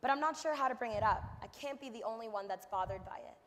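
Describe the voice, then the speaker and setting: A woman's voice: fairly high pitch, expressive, quick pace, a bit bright. A young woman playing a troubled college student admitting her worries in a staged monologue. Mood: uneasy. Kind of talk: staged readers theater monologue. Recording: echoey hall.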